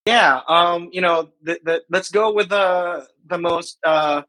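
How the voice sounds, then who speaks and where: A man's voice: high-pitched, fairly even intonation, slow, pushed and bright. A man in his forties from the Philippines, chatting casually as a podcast guest. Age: 40s. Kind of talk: casual chat.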